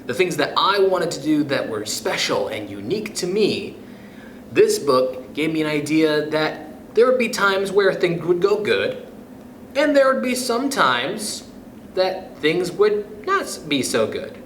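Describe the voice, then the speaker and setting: Slow, animated man reading to kids. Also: on the high side, a little hiss or hum. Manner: expressive, playful, chuckles between passages. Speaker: youth club storyteller. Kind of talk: children's picture book read-aloud. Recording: echoey room.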